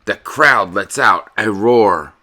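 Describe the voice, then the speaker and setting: A man giving an expressive, dramatic read-aloud in the manner of a children's storyteller. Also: fairly low pitch, slow. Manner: animated, dramatic delivery.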